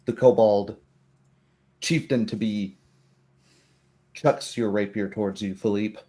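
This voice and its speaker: Low voiced guy game mastering a fantasy rpg, really slow n descriptive. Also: steady tone, dark tone. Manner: measured, descriptive storytelling. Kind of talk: narrating a tabletop roleplay game.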